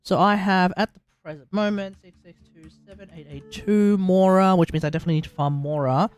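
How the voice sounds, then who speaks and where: A man's voice: high voice, steady tone, brisk, clear, resonant tone, soft background music. A man hosting a casual gaming livestream, rambling and thinking aloud. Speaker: livestream host.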